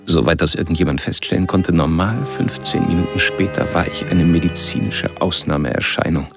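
A very deep, gravelly, brooding killer. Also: male voice, over music. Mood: brooding, clinical. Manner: measured, intellectual, pompous diction. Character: brooding, self-declared monster, lean male killer narrating his confession. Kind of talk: radio drama inner monologue.

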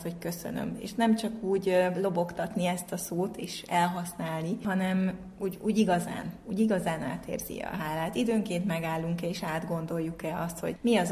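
A woman with a lowish voice explains things in the manner of an expert. She goes step by step and uses everyday examples. There is some noise in the background of the recording. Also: a little mellow. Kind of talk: explanatory talk on positive psychology exercises.

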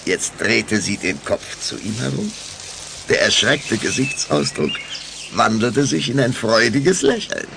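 A male narrator tells a children's radio story with dramatic, animated intonation. His voice is gravelly and creaky. Clear noise sits behind it on an old recording. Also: lowish voice, a little slow.

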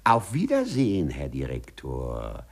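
Gravelly, wildly swooping vengeful office clerk. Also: male voice, a bass voice, huge pitch swings, measured pace, heavily acted. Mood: taunting triumph. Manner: theatrical, muttering to himself, mock-servile. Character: meek male office clerk turned spiteful, gleeful avenger. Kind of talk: 1950s German radio play acting. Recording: 1950s radio production.

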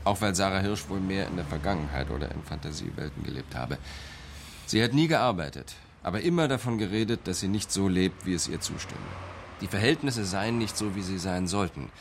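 An actor playing a composed, matter-of-fact police captain in a radio crime drama, giving an orderly briefing. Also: male voice, deep voice, lively intonation, a little fast, slight background noise. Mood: factual briefing.

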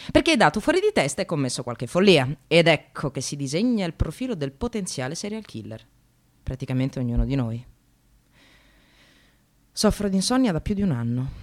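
Bitterly ironic precarious woman, expressive swings. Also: lowish voice. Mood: exasperated, bitter. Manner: sardonic, emphatic, confessional. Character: precarious receptionist, disillusioned separated mother, bitterly ironic. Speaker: actress narrating a monologue. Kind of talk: theatrical radio drama monologue.

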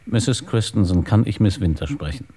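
An actor playing a weary, probing police inspector in a German radio crime drama, terse and matter-of-fact. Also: male voice, on the low side, lively intonation, moderate pace, mellow, husky. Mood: probing, calm.

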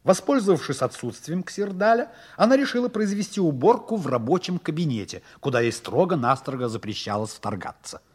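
A man's voice: fairly high pitch, very animated, fairly quick, hardly any pauses, slightly rough. A man narrating a 1960s radio play in a scripted storytelling style. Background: music.

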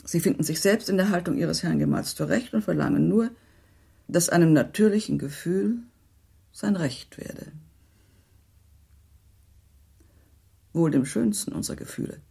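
A gracious high-society lady in a radio play. Her voice is low for a woman, mellow and husky with a creaky edge. She speaks formally and with diplomatic tact, weighing her phrases. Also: normal speed, full character voice. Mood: gracious, tactful. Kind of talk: German radio play acting.

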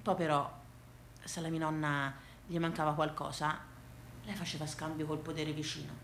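A woman with a Tuscan accent telling a theatrical monologue for radio in an animated, folksy way. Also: low voice, moderate pace, echoey room, a little hiss or hum. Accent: Tuscan Italian. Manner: animated, folksy, dialect-laced delivery. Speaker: actress and solo storyteller.

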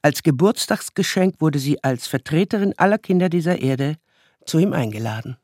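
A man narrating a scripted radio drama in a literary, deliberate storytelling manner. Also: on the high side, animated, darkish tone, dry recording, studio quality.

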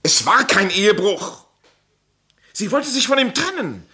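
An agitated playwright suspected over his secret lover's death in a crime radio play. His voice is husky and forceful, swinging widely in pitch, with urgent, halting emotion. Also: sing-song, exaggerated voice. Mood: impassioned. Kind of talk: German crime radio drama acting.

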